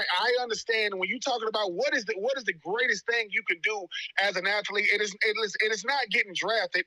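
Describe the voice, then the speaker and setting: A man's voice: high voice, bright, forceful tone, no room sound, rough recording. A man in his forties, a former pro football player, speaking emphatically in a rhythm like a preacher and repeating words for force. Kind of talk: heartfelt commentary.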